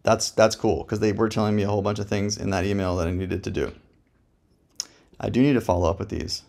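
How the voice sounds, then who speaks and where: A man talks through a software review in a fairly low voice with plenty of gravelly fry. He thinks aloud casually, using slang and dry jokes in a laid-back, unscripted way. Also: flat delivery, quick pace.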